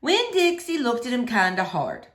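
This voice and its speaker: A woman reading a children's novel aloud as an expressive storyteller. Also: on the high side, normal speed, echoey room.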